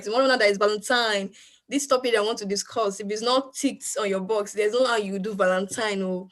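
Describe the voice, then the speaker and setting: A woman's voice: mid-range voice, fairly bright tone. A woman hosts an online event over a video call. She talks in a loose, playful way and jokes with the next speaker. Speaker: event host. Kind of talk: casual moderating.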